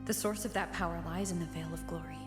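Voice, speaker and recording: A woman's voice: fairly low pitch, steady tone, fast talker, music in the background, close to an everyday voice. Woman acting echoing, solemn young woman wanderer. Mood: solemn, reflective. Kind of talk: video game voice acting.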